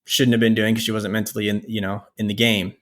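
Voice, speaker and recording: A man's voice: lowish voice, flat delivery, fast. Wry man in his thirties chatting. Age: 30s. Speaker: podcast co-host. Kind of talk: casual chat.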